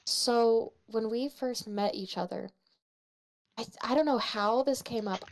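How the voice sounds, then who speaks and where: A woman's voice: fairly high pitch, unhurried. A young woman chatting casually with a friend, giggly and playful, rambling as she tells stories. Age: young adult.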